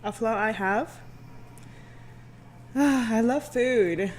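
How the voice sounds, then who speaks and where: A woman's voice: a little high, drawn-out words, a little mellow, a little hiss or hum. A woman who works in radio, chatting casually and playfully as a podcast guest in slangy speech. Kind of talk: casual chat.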